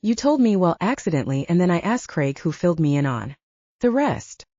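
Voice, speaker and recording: A woman voicing a confident young man proposing to his partner, earnest and soft-spoken, on a dull lo-fi audiobook recording. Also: on the low side, sing-song, brisk, no echo.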